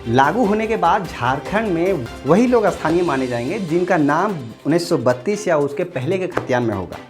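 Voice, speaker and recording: A woman with a fairly low voice narrates a news explainer. She reads from a script, and music plays clearly behind her. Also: animated, normal speed. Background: background music.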